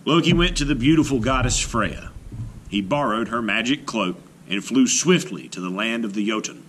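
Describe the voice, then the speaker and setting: A man reading a children's storybook aloud with expressive, theatrical, deliberate narration. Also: mid-range voice, slight background noise.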